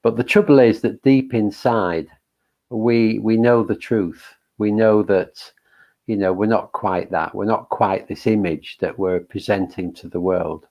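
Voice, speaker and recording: A man with a very deep voice leading a meditation, giving a gentle, halting Buddhist talk full of pauses and fillers. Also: fairly even intonation, creaky, dull audio. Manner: gentle, halting, thinking aloud with many fillers. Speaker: meditation leader. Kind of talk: reflective Buddhist dharma talk.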